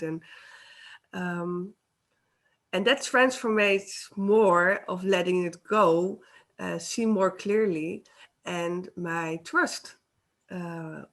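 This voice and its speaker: Slow, hesitant woman. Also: lowish voice, a bit bright. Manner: hesitant, searching for words, laughs often. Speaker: co-host, healer. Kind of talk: casual chat. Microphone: video call.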